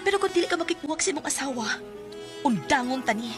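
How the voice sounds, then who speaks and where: A woman's voice: high-pitched, lively intonation, quick pace, over music. A woman playing an insistent, hurt mistress confronting her married lover in an emotional Bisaya radio drama, with a Cebuano accent. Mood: hurt, resolute.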